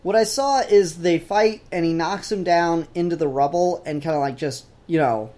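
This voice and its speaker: A man's voice: fairly high pitch, normal speed, dark tone. A man co-hosting a podcast, talking casually about comic books in an opinionated, blunt way. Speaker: podcast co-host. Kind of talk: casual comic book discussion.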